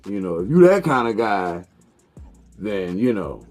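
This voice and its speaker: A man's voice: on the low side, big pitch swings, measured pace, a little mellow, faint music behind. A man in his thirties talking casually about beer and cigars, loose and repetitive, swearing and drifting into preachy life advice. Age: 30s. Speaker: vlogger reviewing beer and cigars. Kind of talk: casual rambling monologue.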